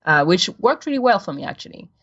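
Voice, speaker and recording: A woman's voice: on the low side, big pitch swings, fast, fairly bright tone, husky, dull audio. A woman chatting casually as a guest on a podcast. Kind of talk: casual chat.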